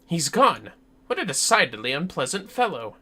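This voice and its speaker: Animated, bright-voiced man streaming a game. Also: fairly high pitch, normal speed. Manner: sweary, rambling. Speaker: game livestreamer. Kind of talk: casual chat while gaming.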